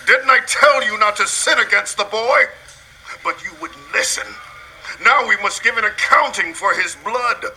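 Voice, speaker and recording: An actor playing an agitated eldest brother from ancient Canaan in an audio Bible drama, urgent and emphatic. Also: male voice, a little high, expressive, unhurried, bright, forceful tone, slight background noise, heavily acted, rough recording. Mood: reproachful.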